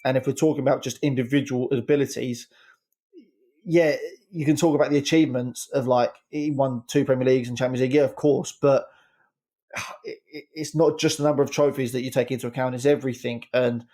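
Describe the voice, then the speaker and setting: A man giving his opinions on a casual football podcast, rambling quickly and restarting his sentences. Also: medium pitch, fairly even intonation, mellow. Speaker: podcast regular, head coach segment.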